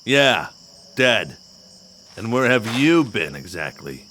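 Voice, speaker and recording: A man's voice: fairly low pitch, very animated, takes it slowly, bright, forceful tone, full character voice. A man playing a bitter, vengeful, hardened fighter in an audio drama, blunt and confrontational. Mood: accusing, bitter. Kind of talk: audio drama dialogue acting. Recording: faint ambient noise behind.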